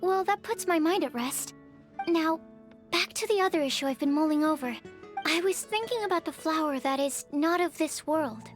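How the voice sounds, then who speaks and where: A woman's voice: high-pitched, normal speed, close to an everyday voice. A woman playing a shy, studious young female alchemist in a video game, speaking in a hesitant, careful, analytical way. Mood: earnest, pensive. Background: music.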